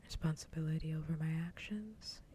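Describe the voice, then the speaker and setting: A woman livestream host chatting casually in a soft, breathy whisper for ASMR, gentle and unhurried, close to the microphone. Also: deep voice, slight background noise.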